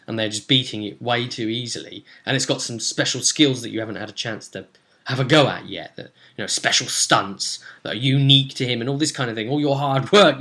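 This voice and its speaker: A young British man talks casually as a tabletop game master at home. His voice sits at an ordinary middle pitch. He rambles and halts, thinking aloud as he goes. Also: sharp tone. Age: young adult. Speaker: game master, host of a role-play vidcast. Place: indoors, small room at home.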